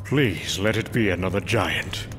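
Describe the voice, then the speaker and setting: A man's voice: sits low, expressive, measured pace, over loud music. A man playing a boastful, self-assured warrior god of thunder, narrating and acting in a dramatized comic book. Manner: grandiose, self-assured, storytelling.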